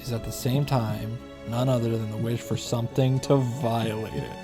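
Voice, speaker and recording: A man's voice: medium pitch, normal speed. A man playing a visual novel, reading its story text aloud and reacting as he goes. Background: game music.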